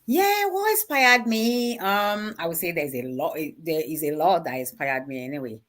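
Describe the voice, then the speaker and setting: A woman's voice: fairly low pitch, lively intonation, normal speed, a bit bright. A woman from Nigeria, a guest on a live online show, answering casually and without hurry between small laughs.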